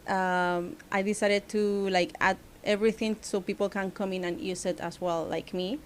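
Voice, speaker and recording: Venezuelan woman with a smooth, clear voice. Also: medium pitch, moderate pace. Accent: Venezuelan Spanish. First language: Spanish. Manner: relaxed, many "like" fillers, plain non-native phrasing. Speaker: studio founder, guest. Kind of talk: casual interview chat. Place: small podcast studio.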